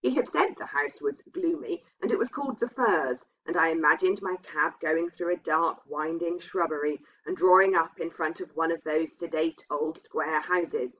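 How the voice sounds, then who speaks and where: A woman's voice: low voice, normal speed, hardly any pauses, a bit bright, slight background noise. A woman reading a literary story aloud with expression. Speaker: reader of a ghost story. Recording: dull, muffled lo-fi sound.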